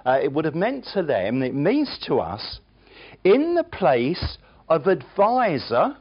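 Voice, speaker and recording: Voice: mid-range voice, highs cut off. A preacher delivering a sermon, emphatic and earnest, with chatty, self-deprecating asides to the congregation.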